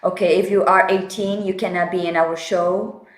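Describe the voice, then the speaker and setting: A woman's voice: fairly low pitch, fairly even intonation, moderate pace, echoey room, rough recording. A woman in her forties hosting a casual talk show, enthusiastic and laughing often. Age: 40s. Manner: enthusiastic, laughs often, non-native phrasing. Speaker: podcast host. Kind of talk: casual chat.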